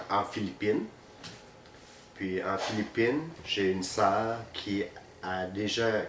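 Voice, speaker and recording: A man in his fifties, a Vietnamese speaker, answering interview questions with many hesitant pauses. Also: sits low. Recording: roomy, distant, faint noise.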